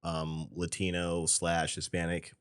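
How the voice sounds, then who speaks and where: A man's voice: sits low, monotone, measured pace, clear, resonant tone. A man co-hosting a casual podcast, talking through a film and backing up his partner with constant agreement. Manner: agreeable, backs up his co-host with constant yeahs. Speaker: podcast co-host. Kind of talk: casual film review chat.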